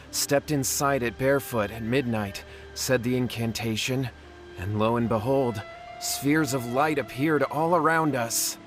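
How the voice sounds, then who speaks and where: A man's voice: mid-range voice, fairly bright tone, close to an everyday voice. A man voicing a nervous young man in a video game, stammering and flustered. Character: nervous young man, scheming and easily cowed.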